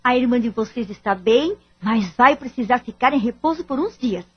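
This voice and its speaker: Highish female voice, actress as protective worried mother, husky, middle-aged, audio drama, dull recording. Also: expressive, normal speed. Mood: soothing.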